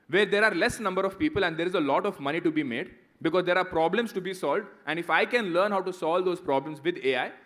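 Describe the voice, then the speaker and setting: A man's voice: sits high, fairly bright tone. A man around thirty, a guest speaker answering student questions in a conversational way, often tagging "right?". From India. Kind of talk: motivational talk and Q&A.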